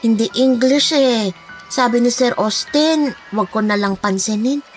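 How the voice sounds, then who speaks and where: A woman's voice: fairly high pitch, measured pace, soft background music, natural voice. A woman playing a talkative, frightened nanny in a horror drama, speaking in a gossipy, respectful, dramatic way. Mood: hushed dread. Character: talkative, frightened Filipina nanny sharing a scary secret. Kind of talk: dramatized horror story dialogue.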